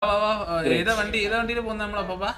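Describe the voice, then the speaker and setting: A man bantering casually in online game roleplay, excitable and teasing, often repeating his questions. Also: high-pitched, rapid-fire, over music.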